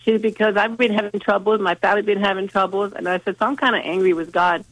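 A woman's voice: mid-range voice, fairly even intonation, quick pace, dry recording. A woman calling in by phone, congested from a sinus infection, telling a folksy story. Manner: chatty storyteller, folksy, repeats herself. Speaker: caller. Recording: dry phone line.

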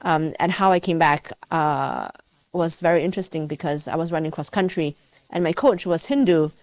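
Woman from Malaysia, interview guest, thoughtful, conversational, pausing for words, lowish pitch. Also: a little mellow, no echo. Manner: halting, thoughtful, searching for words. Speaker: scientist and university teacher, interview guest. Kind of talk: reflective conversational interview answers.

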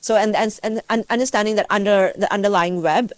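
A woman's voice: medium pitch, dry recording. A woman from Malaysia, a scientist giving reflective, conversational interview answers, searching for words as she goes. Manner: halting, thoughtful, searching for words. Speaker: scientist and university teacher, interview guest.

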